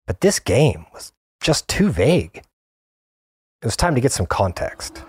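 A man playing a seasoned, weary gamer who narrates a survival adventure as a scripted first-person audio drama, in a measured, intense way. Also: medium pitch, big pitch swings, slightly rough, no room sound, exaggerated voice. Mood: grave, suspenseful. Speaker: narrator and lead character.

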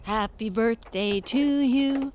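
An actress playing a woman who sings slowly in an audio drama. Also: fairly high pitch, darkish tone, a little hiss or hum. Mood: slow singing. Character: woman singing a birthday song. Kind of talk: slow singing in audio drama.

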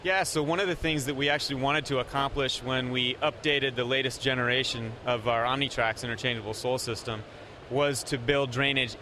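A man representing a company, explaining product features in a steady way with frequent pauses. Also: mid-range voice, background noise.

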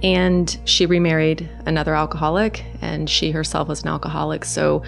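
Middle-aged norwegian woman telling her story, low voice, kinda monotone, faint music under it. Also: normal speed, gravelly. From Norway. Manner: soft-spoken, hesitant with fillers and restarts. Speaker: guest. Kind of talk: personal testimony in conversation.

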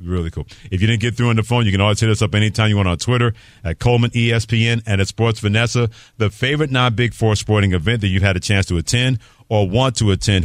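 A man's voice: fairly low pitch, no echo. A man in his fifties or older from New York City, hosting live sports talk radio and chatting with a co-host and callers. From New York City, USA.

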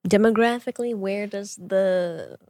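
A woman co-hosts a podcast in casual chat, quick to laugh and poke fun at herself. Her voice sits in a middle range. It is recorded close and dry, with no room sound. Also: medium pitch, warm tone, dry recording. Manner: laughs easily, light and self-mocking. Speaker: podcast co-host.

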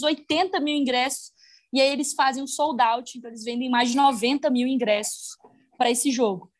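A woman from Brazil, a guest on a podcast, telling a story in casual chat with many fillers. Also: on the high side.

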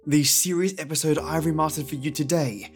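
A man hosts a podcast with a scripted introduction. His voice sits a little higher than average, and music plays underneath as he narrates. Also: a little fast, breathy edge. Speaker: podcast host. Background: music, guitar.

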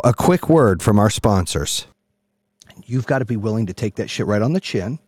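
A man giving a brash, profane, emphatic motivational monologue in a husky voice, repeating phrases for punch. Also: medium pitch, darkish tone, dry recording. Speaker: motivational speaker and host.

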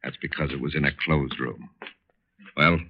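An actor playing a cool-headed outlaw leader in an old radio drama, gruff and commanding. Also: male voice, a bass voice, fairly even intonation, normal speed. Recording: dull, noisy old radio recording.

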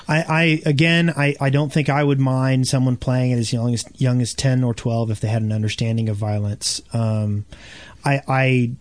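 A man's voice: medium pitch, little pitch movement, moderate pace. A man co-hosting a casual gaming podcast, often restarting and repeating his phrases as he makes a point. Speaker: podcast co-host.

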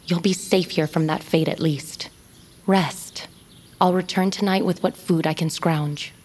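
A woman's voice: fairly low pitch, fairly even intonation, a little slow, a little mellow, slight background noise, lightly acted. A woman playing a loyal, wary bird-folk rescuer in a fantasy audio drama, earnest and guarded. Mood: grave, protective.

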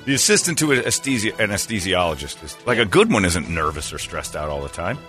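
A man's voice: sits low, very animated, fast, bright, forceful tone, soft background music. A man hosting a radio show with sarcastic, casual comedic banter.

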